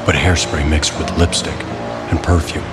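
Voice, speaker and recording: An actor playing a brooding, secretive male vigilante in an audio drama, speaking tersely and gravely. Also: extremely low pitch, level tone, moderate pace. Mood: grim, guarded. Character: brooding masked male vigilante, secretly a troubled rich man. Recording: echoey, loud music underneath.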